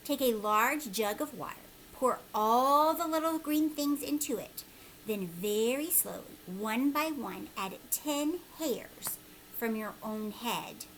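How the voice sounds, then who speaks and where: A woman voicing a peculiar, secretive old man from a storybook, speaking with urgent emphasis and sometimes a hush. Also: fairly high pitch, lively intonation, slow, slight background noise, poor audio. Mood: eager, persuasive. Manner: urgent, emphatic, hushed at times.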